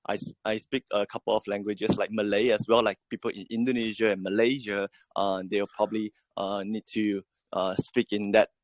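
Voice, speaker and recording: Man, japanese first language, low gravelly monotone, lots of uhs and restarts. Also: no room sound. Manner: halting, many fillers and restarts. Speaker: project team member. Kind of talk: answering questions in an online AMA.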